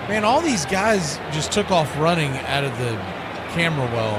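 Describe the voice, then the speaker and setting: A man's voice: on the high side, sing-song, moderate pace. A man doing live baseball play-by-play commentary, with conversational, colloquial asides between calls. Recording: very noisy background, outdoor-like ambience.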